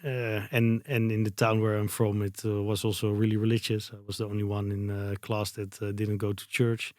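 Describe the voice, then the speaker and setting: Dutch man, hesitant, flat low voice. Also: moderate pace, dry recording, clean studio sound. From Netherlands. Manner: hesitant, searching for English words, many fillers. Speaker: guest, company founder. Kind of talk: interview answers.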